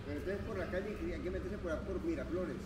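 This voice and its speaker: A talkative man, giving directions outdoors and speaking halting, broken English. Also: high-pitched, crowd noise. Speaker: local passerby giving directions. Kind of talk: casual street conversation. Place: residential street outdoors. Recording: street noise behind.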